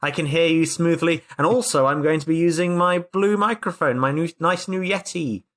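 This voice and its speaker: Brit guy joking on a podcast, bit high pitched, emphatic, repeats himself for effect. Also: moderate pace, fairly bright tone. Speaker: podcast co-host and player. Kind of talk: casual joking chat.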